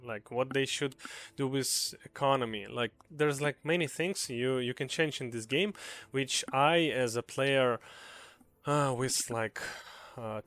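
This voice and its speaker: A man's voice: medium pitch, a bit bright, clear, resonant tone, dry recording. A young man with a Ukrainian accent giving a casual, earnest gaming commentary, hesitant with many fillers and restarts. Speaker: gaming video creator.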